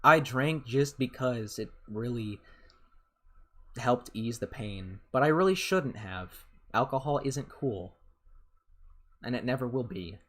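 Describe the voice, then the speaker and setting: Young dude in his 20s, medium-pitched voice gone a bit deeper, rambly gamer chat w/ some swearing. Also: lively intonation, slow, a bit bright. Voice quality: voice has grown deeper. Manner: rambling and chatty, with casual swearing. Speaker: gaming YouTuber. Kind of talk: casual gameplay commentary and Q&A.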